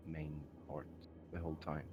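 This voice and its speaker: A man guest in a casual online chat, talking softly and hesitantly with many pauses over a computer mic. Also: rumbling low, level tone, dull audio, a little hiss or hum. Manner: soft-spoken, hesitant with many uhs. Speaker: podcast guest.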